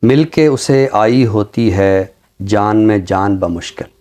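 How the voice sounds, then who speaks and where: A middle-aged Pakistani man speaking in a formal, deliberate way, as a literary commentator on a talk show. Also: fairly low pitch, darkish tone. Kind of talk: reflective commentary and poetry recitation on a literary show.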